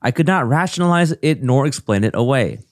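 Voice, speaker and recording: A man's voice: mid-range voice, expressive, a little fast, no echo. A man co-hosting a comedy podcast, reading a listener's email aloud in a dry, matter-of-fact way with joking asides. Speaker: co-host.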